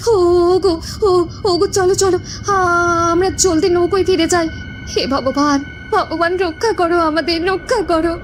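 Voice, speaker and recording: A woman's voice: very high-pitched, fairly even intonation, unhurried, music in the background. A woman voicing a terrified young village wife in a horror cartoon, stammering and repeating words as she pleads. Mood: tearful pleading. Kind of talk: Bengali horror cartoon voice acting.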